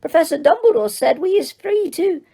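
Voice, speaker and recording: A woman voicing an eager, timid male house elf with a high squeaky voice and broken grammar. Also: lively intonation, moderate pace, creaky, warm tone, heavily acted. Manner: broken grammar, frequent "sir".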